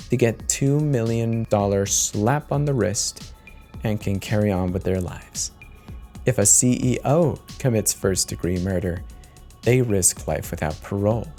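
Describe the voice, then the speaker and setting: A man giving a grave, deliberate dramatic reading as the narrator of a scripted horror story. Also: mid-range voice, warm tone.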